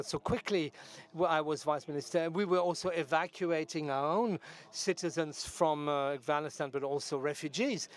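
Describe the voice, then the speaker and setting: A man giving live interpretation of a debate, his phrasing halting and piecemeal. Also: on the high side, smooth.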